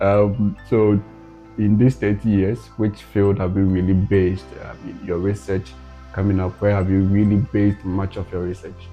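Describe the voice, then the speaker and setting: A man's voice: lowish voice, flat delivery, moderate pace, mellow, faint music behind. A man interviewing a professor, asking long, rephrased questions and giving frequent encouraging responses.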